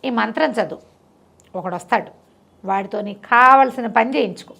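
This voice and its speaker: A woman plays a wandering monk in a storybook tale. He instructs and reassures calmly, with a creaky, fairly high voice. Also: normal speed, full character voice. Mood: instructing. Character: wandering male holy man, calm and helpful.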